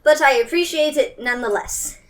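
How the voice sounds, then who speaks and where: Highish girl doing casual game roleplay, kinda halting, a bit away from the mic. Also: fairly bright tone. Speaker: tabletop game player. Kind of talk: casual improvised roleplay chat. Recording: distant mic.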